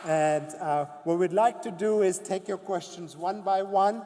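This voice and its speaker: A man moderating the question session, giving halting, procedural instructions to the audience. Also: high voice, in a large hall, noisy background.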